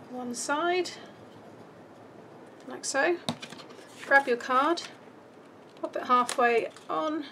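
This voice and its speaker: British lady doing a chatty craft how-to, highish voice starting to go, noisy background with little clicks. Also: vocal fry. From United Kingdom. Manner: informal, chatty, thinking aloud. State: starting to lose voice. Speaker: craft tutorial host. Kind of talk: casual step-by-step craft tutorial. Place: indoors at a craft table.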